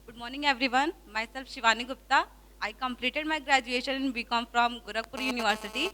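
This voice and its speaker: A young woman with an Indian accent introducing herself, halting and searching for words. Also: a little high, fairly even intonation, sharp tone, rough recording. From India, near Nepal border. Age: young adult. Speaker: new student. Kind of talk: self-introduction.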